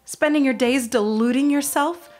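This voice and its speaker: An actress playing a weary, practical girlfriend in a period audio drama, speaking with measured, earnest reproach. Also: high-pitched, a little mellow, faint music behind, close to an everyday voice. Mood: weary, firm. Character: weary, practical young girlfriend losing faith in a dreamer.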